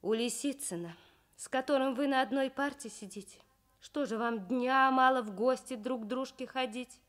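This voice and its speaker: A woman voicing a caring working mother in a radio story, homely and gently chiding. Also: high-pitched, normal speed. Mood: concerned.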